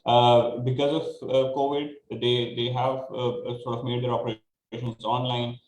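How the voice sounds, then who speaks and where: A man with a Pakistani accent explaining things informally as a panelist, in a halting way that repeats words. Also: medium pitch, flat delivery, darkish tone. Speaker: panelist, project grantee. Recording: echoey room, faint background noise.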